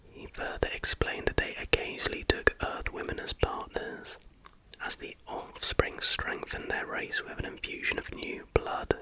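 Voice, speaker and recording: A man speaks entirely in a breathy whisper, with no voiced tone at all. He narrates in an ASMR style, reading stories aloud like an online host. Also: low-quality recording. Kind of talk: whispered ASMR storytelling, reading with casual asides.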